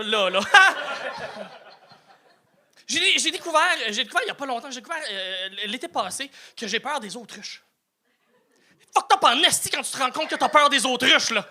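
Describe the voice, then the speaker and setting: A man's voice: extremely high pitch, bright, forceful tone, a little hiss or hum. A man, doing a self-mocking stand-up set with stammered restarts and swearing. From New Brunswick, Canada. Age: 30s. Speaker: stand-up comedian.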